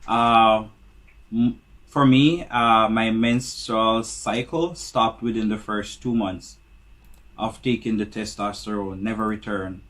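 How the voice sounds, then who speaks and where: A man from Jamaica giving a calm, measured personal account in a played interview clip. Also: lowish voice.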